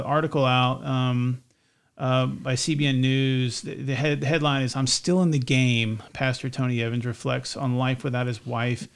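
Guy hosting a casual podcast, bit of a lisp, creaky fry in his voice, stop-start talker. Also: mid-range voice. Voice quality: slight lisp. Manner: halting, repeats and restarts phrases. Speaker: podcast host. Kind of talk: casual co-hosted podcast chat.

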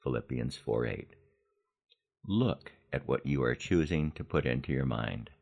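An American man in his seventies narrating a scripted personal podcast in a measured storytelling manner with deliberate emphasis. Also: rumbling low, lively intonation, gravelly, a little mellow, highs cut off. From Los Angeles, United States. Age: 70s. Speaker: podcast host.

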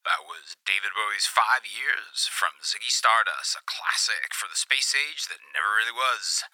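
A man doing a raspy space-age radio DJ. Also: on the low side, expressive, normal speed, pushed and bright, no room sound, poor audio. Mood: breezy.

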